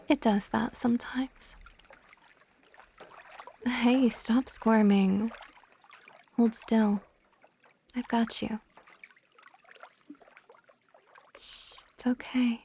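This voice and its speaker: A woman voicing an ancient, possessive but tender water dragon goddess in a soft, intimate ASMR romance roleplay, often slipping toward a whisper. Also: on the high side, a little hiss or hum. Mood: teasing, soothing.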